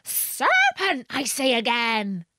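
A harried storybook pigeon, a scolding female bird with a very high, swooping voice. She complains indignantly and exclaims with suspicion, the recording close and dry. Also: huge pitch swings, slow pace, a bit bright, no echo, exaggerated voice. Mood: shrieking alarm. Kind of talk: storybook character reading.

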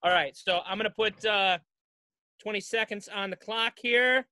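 A man's voice: high voice, moderate pace, pushed and bright, dry recording. A man in his thirties chatting casually and playfully as a podcast host on a video call. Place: home basement.

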